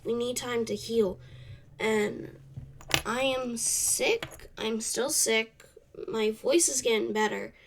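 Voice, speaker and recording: Slow, congested young woman podcaster. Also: a little high, vocal fry, noisy background. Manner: slow, halting and soft-spoken, trailing off. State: congested from a cold. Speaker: podcast host. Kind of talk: solo podcast monologue. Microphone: camera off to the side.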